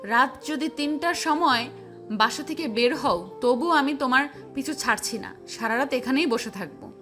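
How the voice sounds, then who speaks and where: A woman acts out a very high-pitched young woman in a romantic audio drama, bold and possessive. She is defiant and insistent about the younger man she pursues, with faint music underneath. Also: expressive, normal speed, bright, forceful tone. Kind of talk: Bengali audio love-story drama.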